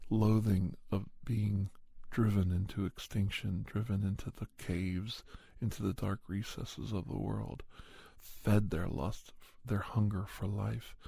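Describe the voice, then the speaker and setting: A man reading a scripted ASMR story in a solemn, measured way, with long pauses and a husky, breathy voice close to the microphone. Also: on the low side, warm tone, dry recording. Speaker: host and narrator of an ASMR story podcast. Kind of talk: ASMR scripted story narration in first person. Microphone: close microphone, dry sound.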